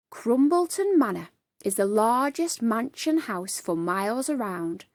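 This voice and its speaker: A woman reads a children's storybook aloud, expressively and with dramatic, deliberate emphasis. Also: on the high side, a little mellow, dry recording. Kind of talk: expressive bedtime story narration.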